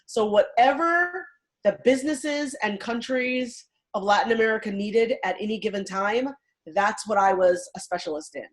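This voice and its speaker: A woman from California, a law professor, chatting on a video call in a lively, quick way. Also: medium pitch. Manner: lively, quick, laughing asides. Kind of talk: casual talk on a video call.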